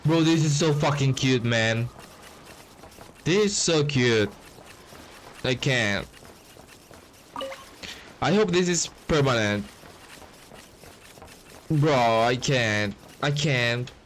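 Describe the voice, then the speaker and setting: A man's voice: mid-range voice, expressive, slow, pauses often, slight background noise. A young man giving casual, off-the-cuff reaction commentary over a game, slangy and profane. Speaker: game streamer.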